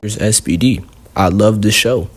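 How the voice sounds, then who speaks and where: A man's voice: lowish voice, moderate pace, loud music behind the voice. A man hosting a casual countdown video, rambling and leaning heavily on fillers. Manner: rambling, heavy on fillers like "you know". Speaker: video host.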